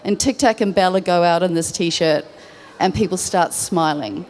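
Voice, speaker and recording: A woman speaking as a panelist on a public panel in a warm, relaxed way. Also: on the low side, normal speed.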